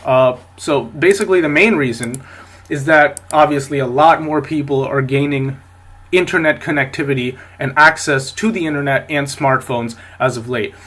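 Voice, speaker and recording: A man's voice: mid-range voice, moderate pace, fairly bright tone. Man with a stuffy nose from a cold. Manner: rambling, many fillers and restarts. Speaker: podcast host. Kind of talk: solo podcast commentary.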